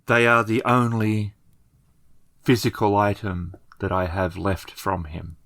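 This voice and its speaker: Tabletop game: stubborn, mourning village woman, acted by a man, deep register, courteous and firm. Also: flat delivery, takes it slowly, a bit bright, no room sound. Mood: quietly defiant. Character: grieving, stubborn young village woman, polite but firm.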